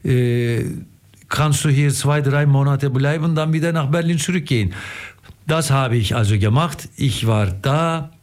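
A middle-aged or older man from Istanbul with a Turkish accent, telling stories as a radio guest, haltingly and repeating words for emphasis. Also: mid-range voice, a little mellow. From Istanbul, Turkey. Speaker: interview guest, theatre director. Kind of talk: casual storytelling in an interview.

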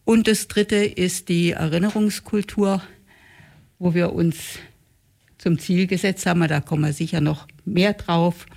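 A woman's voice: on the low side, fairly even intonation, measured pace, vocal fry, a little mellow. A woman in her mid sixties, a grandmother and group member, who lays out her reasons point by point. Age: 60s. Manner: orderly, lists her points. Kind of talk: casual interview talk.

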